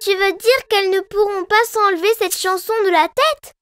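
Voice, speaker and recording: A woman's voice: very high voice, moderate pace, no echo. A woman playing an eager little baby girl in a French children's cartoon dub, with a childlike, exclamatory delivery. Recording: music behind the voice. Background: children's music.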